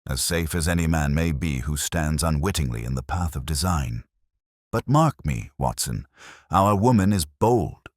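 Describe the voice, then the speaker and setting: A man voicing a keen, calm Victorian gentleman detective in a storybook, speaking formally with quiet authority. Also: extremely low pitch, moderate pace, no echo, clean studio sound. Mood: grave, purposeful.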